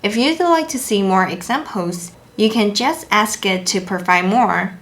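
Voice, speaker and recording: A young woman, a native Chinese speaker, hosting a language lesson video and explaining clearly in an upbeat, easily impressed way. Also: mid-range voice, unhurried, a bit bright. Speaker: language teacher and channel host.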